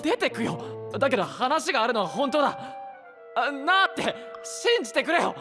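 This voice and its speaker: An actor playing a brash, troubled young male knight in an anime voice drama, speaking roughly and emotionally. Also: very high voice, sing-song, normal speed, music in the background. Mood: desperate pleading. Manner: rough casual speech, emotional. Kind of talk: Japanese anime voice drama acting.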